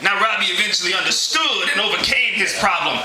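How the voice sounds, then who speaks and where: A man giving a comic dramatic reading to a group, theatrical and declamatory with dramatic pauses. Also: sits high, expressive, a crowd in the background. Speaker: reader at a club meeting. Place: meeting room, live. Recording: echoey room with music behind.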